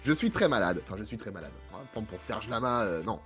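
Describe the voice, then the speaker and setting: A man with a head cold and a hoarse voice, hosting a casual podcast chat with many fillers and self-mocking asides. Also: lowish voice, sing-song, quick pace, fairly bright tone, soft background music. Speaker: podcast host.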